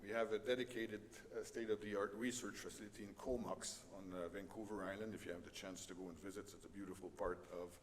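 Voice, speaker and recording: A middle-aged man with a French Canadian accent gives a conference presentation in a halting way, with many fillers and light self-deprecating humor. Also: lowish voice, fairly even intonation. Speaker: conference speaker. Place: conference hall. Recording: reverberant conference hall with faint noise.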